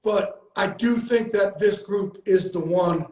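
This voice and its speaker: A man's voice: sits high, slow pace, mellow, echoey room, poor audio. A middle-aged man who hosts a candid conversation, talking bluntly and earnestly and swearing freely. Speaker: host, founder of a veterans and players group.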